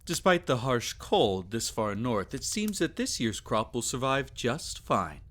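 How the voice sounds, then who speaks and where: A man playing a teasing, doting vampire lord in an intimate ASMR romance roleplay, with soft chuckles and sighs. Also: medium pitch, sing-song, a little slow. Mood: warm, fond.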